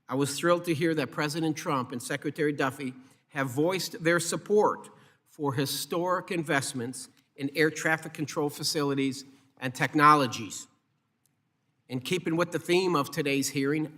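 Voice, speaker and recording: A man with a slightly high-pitched voice delivers a formal political statement. He reads in a measured way, as the chairman opening an official hearing. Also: sharp tone. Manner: measured, formal, reading from prepared remarks. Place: congressional hearing room.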